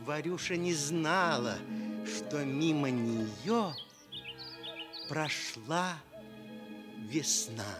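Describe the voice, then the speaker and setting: A man narrating a fairy tale for Soviet radio, tender and expressive, with dramatic pauses. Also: on the high side, loud music behind the voice. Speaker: storyteller.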